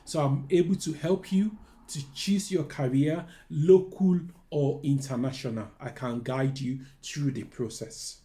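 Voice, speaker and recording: Earnest Nigerian man, mellow voice. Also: fairly high pitch, unhurried. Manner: earnest, candid, repeats phrases like "to be honest". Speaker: careers adviser. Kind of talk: personal story and career advice to camera.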